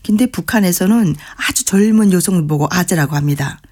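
A woman from North Korea with a North Korean accent, chatting as an interview guest and acting out dialect phrases in lively quoted voices. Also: lowish voice, brisk. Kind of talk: casual interview chat.

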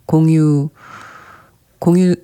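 Brief: radio host with a warm, dark tone and a soft, breathy edge. Reads listener notes gently and chats. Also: medium pitch, little pitch movement, very slow. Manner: gentle, chatty, often laughing softly. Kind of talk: radio show, reading listener messages.

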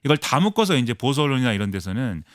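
Fast animated male political commentator. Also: medium pitch, no room sound, clean studio sound. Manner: fast, animated explaining with many fillers. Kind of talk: radio current-affairs analysis.

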